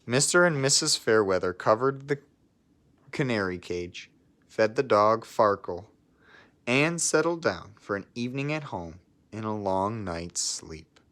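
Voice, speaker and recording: A man's voice: fairly low pitch, lively intonation, unhurried, a bit bright. A man reading a children's picture book aloud expressively, as if to young listeners. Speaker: storybook reader.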